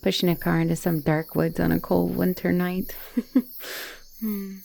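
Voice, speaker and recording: A young woman playing an obsessive, clingy girlfriend on the run from an asylum, in an intimate one-sided audio roleplay with sighs and soft, teasing turns. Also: on the low side, flat delivery, measured pace. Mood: sheepish, amused. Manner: breathy sighs, teasing, drops into soft whispers.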